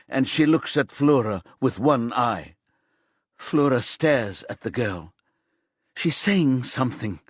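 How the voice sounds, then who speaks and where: A man narrating a thriller audiobook in a measured, suspenseful storytelling manner. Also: mid-range voice, no room sound, clean studio sound.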